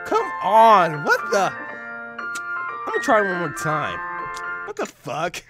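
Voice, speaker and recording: A let's player giving excitable, giggly gameplay commentary, often breaking into shrieks and laughter while reading a visual novel aloud. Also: mid-range voice, slow pace, over music.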